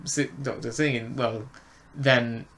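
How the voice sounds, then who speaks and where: A man in a casual review chat as a co-host, speaking in halting phrases and often repeating words. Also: mid-range voice, fairly even intonation, fairly bright tone, a little hiss or hum. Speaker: podcast co-host reviewing audio dramas.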